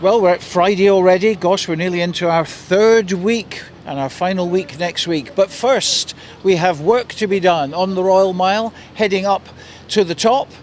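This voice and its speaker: A man with a high voice hosts a festival radio show from the street. He teases his guests with jokes and keeps the chat light. Traffic can be heard faintly behind him. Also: normal speed. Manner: teasing, jokey banter. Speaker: radio host. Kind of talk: casual outdoor interview. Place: busy street outdoors.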